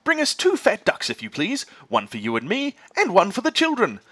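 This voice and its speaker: A caring mother fox with a lifted, higher voice, as a male storyteller puts her on. She sounds anxious and protective, speaking up clearly in a bright, dry tone. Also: huge pitch swings, a little fast, no echo, exaggerated voice. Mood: affectionate. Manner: anxious, cautioning.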